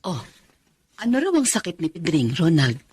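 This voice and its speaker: A woman's voice: lowish voice, moderate pace, husky, no echo. An actress playing a devoted, anxious Filipino wife and mother in an emotional radio drama. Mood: tender, reflective. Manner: emotional, expressive.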